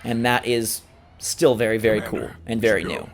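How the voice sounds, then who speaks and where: A man's voice: fairly low pitch, normal speed, faint music behind. A man hosting a gameplay video, giving enthusiastic running commentary. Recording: game sound loud behind voice. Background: video game action noise.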